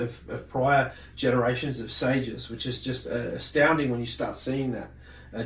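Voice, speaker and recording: A man giving an informal religious lesson, reading texts and commenting in a halting way, repeating and correcting himself. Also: a little high. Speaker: religious teacher presenting a study video. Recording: roomy echo, distant mic, faint noise.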